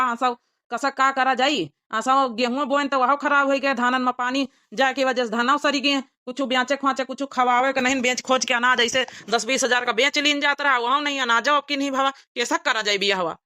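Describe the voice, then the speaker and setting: Woman doing a high-voiced, worried Awadhi farmer father. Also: steady tone, moderate pace, bright, forceful tone, no room sound, clean studio sound. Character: worried, poor farmer, father of a grown daughter. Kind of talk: cartoon village comedy dialogue.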